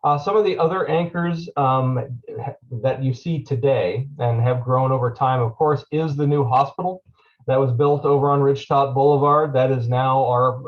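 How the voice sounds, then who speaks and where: A man's voice: medium pitch, lo-fi sound. A man who is a deputy county administrator, giving a loose presentation at a public meeting with many ums and uhs. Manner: frequent fillers, loose and conversational.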